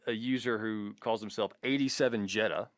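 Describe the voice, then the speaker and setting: A man's voice: fairly low pitch, fairly even intonation, a little fast, no echo, clean studio sound. A man co-hosting a podcast in casual, joking, sweary chat, reading out listener comments. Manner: profane, joking. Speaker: podcast co-host.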